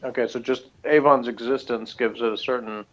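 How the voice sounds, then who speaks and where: A man's voice: medium pitch, steady tone, brisk. A man co-hosting a casual review chat, with a dry, teasing way of talking. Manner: dry, teasing, laughs often. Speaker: podcast co-host. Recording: dull lo-fi sound.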